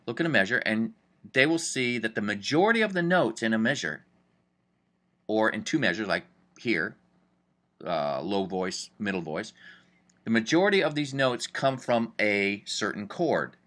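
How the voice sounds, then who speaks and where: A man's voice: fairly low pitch, big pitch swings, moderate pace, a bit bright. A man teaching music theory in a chatty, upbeat way, thinking aloud as he goes. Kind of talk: casual screen-recorded lesson.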